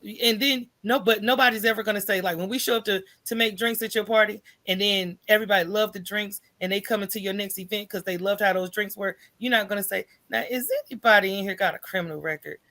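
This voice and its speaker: A woman's voice: medium pitch, fairly even intonation, fast talker, few pauses, a bit bright. An American woman speaking as a podcast guest in a casual, colloquial way, often checking in with the listener as she explains. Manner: informal, colloquial, checks in with "right?" and "you know". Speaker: podcast guest, coffee business owner. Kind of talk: casual conversational interview answers.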